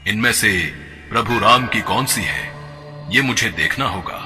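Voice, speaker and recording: A man's voice: fairly low pitch, lively intonation, unhurried. A man voicing a devoted monkey god in a devotional cartoon, speaking in an eager, devoted way. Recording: long reverb with background music.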